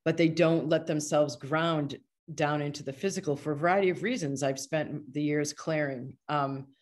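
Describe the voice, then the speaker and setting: A woman's voice: sits low, steady tone, moderate pace, a little mellow. A woman speaking as a podcast guest, a healer and coach explaining ideas in an earnest, discursive, conversational way. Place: online video call.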